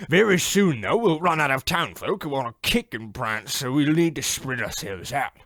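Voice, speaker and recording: A man's voice: mid-range voice, animated, normal speed, fairly bright tone, dry recording, studio quality. A man playing a cocky, chatty little monstrous chimney sweep in an old-time radio horror comedy, telling tales with swagger and British slang. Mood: gleefully explaining.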